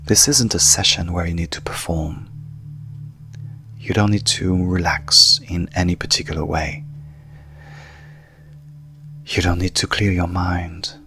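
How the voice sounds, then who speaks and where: A man speaking as a hypnotherapist in a calm, hushed, soothing manner, softly guiding a sleep meditation with long pauses. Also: sits low, faint music behind. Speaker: coach and hypnotherapist guiding a session.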